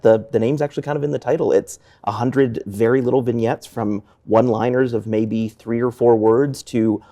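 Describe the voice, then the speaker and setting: A man's voice: mid-range voice, dark tone. A man speaking casually as an interview guest, a theatre director describing his work.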